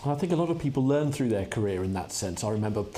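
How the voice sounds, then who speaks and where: A man hosting a conference fireside chat, relaxed and chatty, with small hesitations. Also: on the low side, quick pace, a crowd in the background. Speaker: host.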